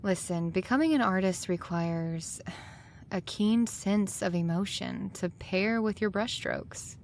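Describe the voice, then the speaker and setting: A woman playing a demanding, thoughtful high school art teacher in an audio drama, speaking in a deliberate, instructive way. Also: lively intonation, vocal fry, slight background noise. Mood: earnest, instructive.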